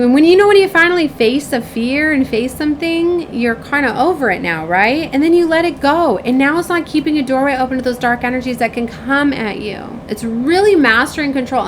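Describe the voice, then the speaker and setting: A woman with a fairly high voice speaks informally to her viewers in the role of a spiritual reader. She rambles in an effusive way and keeps tagging her thoughts with little checks for agreement. Some light noise sits behind her voice. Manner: effusive, rambling, often seeking agreement with tag questions. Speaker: spiritual reader and self-described shaman.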